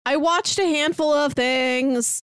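A woman's voice: high-pitched, takes it slowly, fairly bright tone, dry recording, clean studio sound. A woman podcast co-host chatting casually about films and shows in a wry, talkative way.

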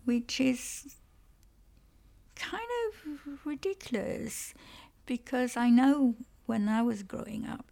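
A woman whose first language is Cantonese, speaking in an unhurried, reflective interview manner. She pauses and repeats small words while she gathers her thoughts. Her voice carries a gravelly, creaky edge. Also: fairly high pitch, animated, dark tone. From Malaysia. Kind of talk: conversational interview.

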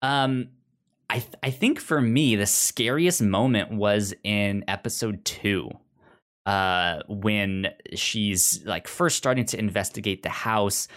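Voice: low voice, slow pace, fairly bright tone. A podcast co-host chatting casually, with a stammer that often repeats sounds and words. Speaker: podcast co-host reviewing audio drama. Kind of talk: casual chat.

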